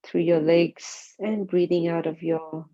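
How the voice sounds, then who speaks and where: A woman guides a body scan meditation, speaking calmly and gently in short, spaced phrases. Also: fairly low pitch, slow pace. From Singapore. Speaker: mindfulness meditation teacher. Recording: dull, muffled lo-fi sound.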